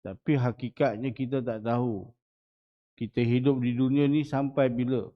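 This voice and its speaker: A man's voice: medium pitch, fairly even intonation, normal speed. A man in his forties with a Malaysian Malay accent, a religious teacher giving a dawn lecture in a small prayer hall, often putting rhetorical questions to the audience. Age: 40s. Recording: muffled lo-fi recording.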